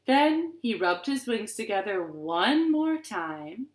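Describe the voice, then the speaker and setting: A woman reading stories and rhymes aloud to young children in an expressive, deliberate way, recorded in a living room. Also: mid-range voice. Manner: expressive, deliberate, asks the listeners questions. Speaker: children's librarian storyteller. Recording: roomy, echoing living-room sound.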